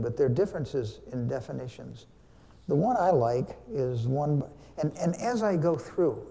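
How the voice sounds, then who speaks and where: A man's voice: mid-range voice, vocal fry, dark tone. Older man teaching in a reverberant sanctuary. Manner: conversational, teacherly, asks the audience questions. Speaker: church speaker, veteran. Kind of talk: Veterans Day sermon talk with questions to the congregation. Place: large church sanctuary, standing before pulpit. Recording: long hall reverb.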